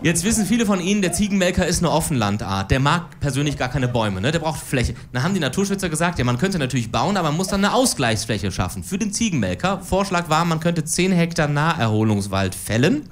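A woman's voice: deep voice, sing-song, fast, hardly any pauses, a little hiss or hum. A woman in her forties performing stand-up political cabaret on stage, with a dry, ironic delivery. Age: 40s. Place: stage before a live audience.

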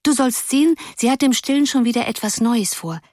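A woman voicing a small, gentle schoolgirl who coaxes and pleads with her friends. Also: a little high, fairly quick, dry recording, clean studio sound, voice pushed up, close to an everyday voice. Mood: coaxing.

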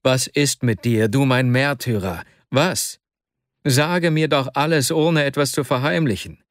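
A man's voice: medium pitch, unhurried, no room sound, studio quality, pitched above the performer's own voice, natural voice. A man reading a story, voicing an anxious, caring young male friend in an earnest, urgent tone. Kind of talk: audiobook character voice.

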